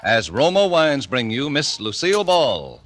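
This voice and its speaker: Casting: polished male commercial announcer, vintage radio, formal and emphatic, very animated mid-range pitch. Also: unhurried, very noisy. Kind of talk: 1940s radio advertising read.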